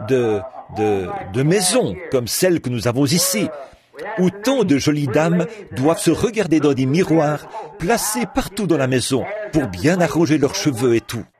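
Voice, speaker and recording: A man delivering a translated sermon in a lively preaching cadence, repeating words as he goes. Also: on the high side, moderate pace, a crowd in the background. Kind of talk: French reading of a translated sermon.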